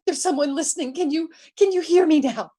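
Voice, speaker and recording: A woman's voice: high-pitched, fairly quick, warm tone, natural voice. A woman playing a frantic, bedridden invalid who stammers and repeats herself in terror, in old-time radio suspense style. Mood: desperate panic. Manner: stammering, repeating words in panic.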